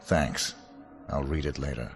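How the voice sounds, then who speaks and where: Very deep-voiced, cold hitman. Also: a little slow, faint music behind. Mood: cold, impatient. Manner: curt, deadpan. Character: terse, cold, emotionless professional male hitman. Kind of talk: scripted video game dialogue.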